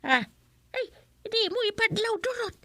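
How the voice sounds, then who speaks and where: A woman's voice: very high voice, sing-song, measured pace, fairly bright tone, dry recording, exaggerated voice. A woman playing a fussy elderly village grandmother in an Ilocano radio comedy drama. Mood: fussy, secretive.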